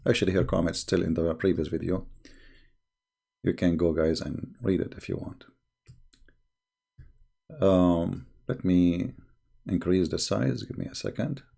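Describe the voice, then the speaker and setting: A man hosting a live broadcast in a casual, halting way, his voice strained and tired. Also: sits low, vocal fry, dark tone, husky. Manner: halting, reads viewer messages aloud slowly. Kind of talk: casual live-stream talk.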